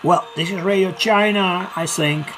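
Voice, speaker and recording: A hobbyist giving an unscripted demo at home, explaining piece by piece in a halting way with frequent pauses. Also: mid-range voice, fairly bright tone, faint music behind. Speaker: hobbyist demonstrator. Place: home, indoors near a kitchen. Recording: noisy room with radio static. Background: radio noise.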